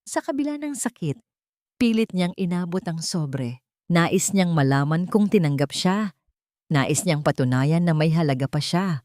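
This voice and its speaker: A woman's voice: lowish voice, lively intonation, unhurried, a little mellow, no room sound, studio quality. A woman narrating a scripted audio story in a dramatic storytelling style.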